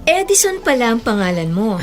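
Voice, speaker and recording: A woman's voice: a little high, very animated, a little fast, soft background music. An actress playing a troubled lady employer in a Tagalog radio drama. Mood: composed, probing. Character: troubled lady of the house, employer with a painful past.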